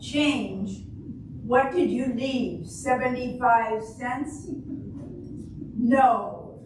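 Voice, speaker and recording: An older woman acts out a skeptical, exasperated old wife in a stage comedy. She speaks slowly and dryly in a fairly high voice, needling with sarcasm. She sounds distant in a very echoey hall. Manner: dry, sarcastic, deliberate. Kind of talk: amateur reader's theater comedy performance. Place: large echoey room, live stage reading. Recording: echoey hall from far off, noisy.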